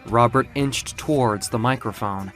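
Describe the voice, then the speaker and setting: A man's voice: mid-range voice, unhurried, a bit bright, faint music behind. A man narrating a scripted story in a theatrical, suspenseful way.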